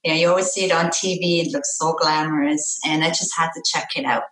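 A woman's voice: low voice, fairly even intonation, fast, fairly bright tone. A middle-aged woman from Austria, a parent coach, chatting earnestly and cheerfully in an interview. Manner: earnest, upbeat, laughs easily. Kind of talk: casual interview chat. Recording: echoey room, voice a bit far from mic.

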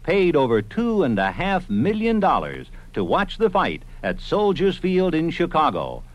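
A man's voice: fairly high pitch, huge pitch swings, a little slow, muffled recording. A man reading scripted historical narration as a radio documentary narrator. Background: music.

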